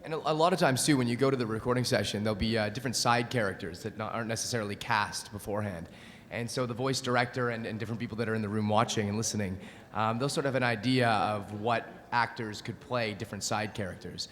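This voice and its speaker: A man speaking in a quick, casual way as a voice actor on a convention panel. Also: on the low side, fairly bright tone. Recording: hall reverb from convention room.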